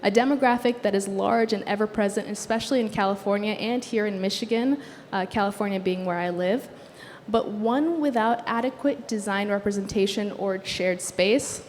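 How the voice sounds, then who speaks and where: A young woman designer, originally from Sudan with Arabic as her first language, giving an earnest, measured talk on a conference stage. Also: medium pitch, a little mellow. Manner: earnest, measured delivery with occasional fillers. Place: conference stage in a large hall. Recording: long hall reverb, faint background noise.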